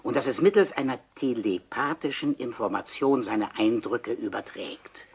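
An actor playing an obsessed, coolly persuasive seventy-year-old scientist in a radio crime play, deliberate and insistent, with dramatic pauses. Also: male voice, medium pitch, animated, gravelly, husky, exaggerated voice. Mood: solemn, pondering. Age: older.

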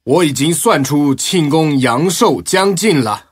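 A man's voice: on the high side, animated, measured pace, breathy edge, no room sound. An actor playing a self-assured wandering fortune-teller monk in an audio drama, coldly pronouncing his verdicts. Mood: smug, cold.

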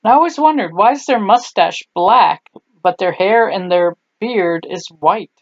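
A woman's voice: medium pitch, animated, measured pace, no room sound. Older lady rambling homey while she makes clay stuff, kinda tired, dry dull home sound. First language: German. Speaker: craft tutorial host. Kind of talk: chatty craft tutorial. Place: home craft table, indoors.

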